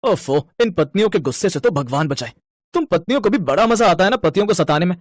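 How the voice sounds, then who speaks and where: A man's voice: high voice, expressive, fast, no room sound, clean studio sound. A man playing a henpecked young husband in a story, sleepy and grumbling in a whining, put-upon way.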